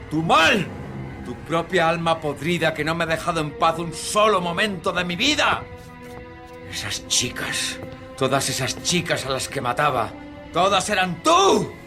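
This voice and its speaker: Venomous raving son, wildly swinging pitch. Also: male voice, sing-song, measured pace, over music. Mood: bitter fury. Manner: venomous, theatrical, accusing. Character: enraged murderous son raving at his hated mother. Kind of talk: Spanish radio drama acting.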